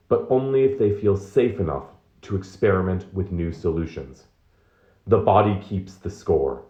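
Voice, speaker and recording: A man's voice: deep voice, lo-fi sound. A man reading nonfiction aloud as narration, in a roomy, echoing recording. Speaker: reader of a book excerpt.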